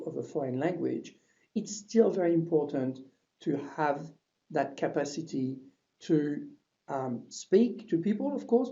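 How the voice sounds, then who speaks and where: A man's voice: on the high side, mellow, lo-fi sound. A man with a French accent, a university French lecturer giving a webinar presentation, talking with frequent hesitations and restarts. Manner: hesitant, many restarts and fillers.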